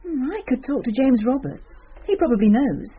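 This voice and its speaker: An actress playing a wry, teasing, practical schoolteacher in a radio drama, with a husky voice. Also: female voice, high voice, sing-song, normal speed, muffled recording, heavily acted.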